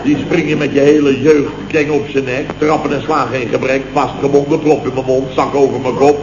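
An actor playing a blustering, sarcastic boss of a building firm in a vintage radio comedy, repeating himself indignantly. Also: male voice, medium pitch, flat delivery, a little fast, close to an everyday voice. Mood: bitter sarcasm. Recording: muffled, noisy, echoey old radio recording.

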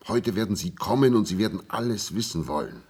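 Ein Schauspieler spielt im Hörspiel einen müden, resignierten Mann, der heiser, zögernd und eindringlich auf einen Gefährten einredet. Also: male voice, lowish voice, lively intonation, fairly quick, a little mellow, slightly rough. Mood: gentle urging. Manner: hesitant, pleading, intimate. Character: world-weary, resigned man patiently coaxing his silent companion. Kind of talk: German radio play acting.

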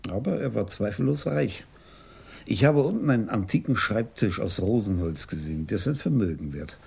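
An actor playing a courteous, observant older police commissioner with a measured manner in a radio crime drama. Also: male voice, on the low side, lively intonation, creaky, a little mellow, husky, heavily acted. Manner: measured, polite, methodical.

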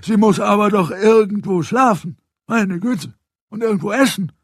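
Narrator as a nosy, high-pitched affronted gentleman. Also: moderate pace, slightly rough, dry recording, clean studio sound. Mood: incredulous. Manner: incredulous, needling. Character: nosy, easily affronted, mocking adult gentleman. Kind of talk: audiobook character voice.

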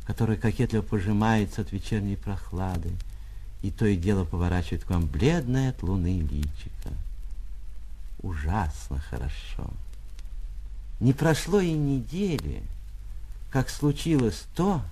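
A man, an actor, reading a literary short story dramatically, with a wry, expressive manner and savoured pauses. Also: lowish voice, slightly rough. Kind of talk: dramatic literary audiobook narration.

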